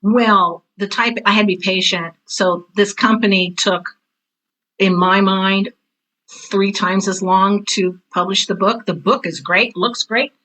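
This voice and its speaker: A woman's voice: medium pitch, fairly even intonation, measured pace, fairly bright tone, low-quality recording. A middle-aged or older woman telling personal stories as an interview guest, candid and emphatic, repeating phrases for stress. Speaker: interview guest, theater teacher and author. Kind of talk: casual personal storytelling in an interview.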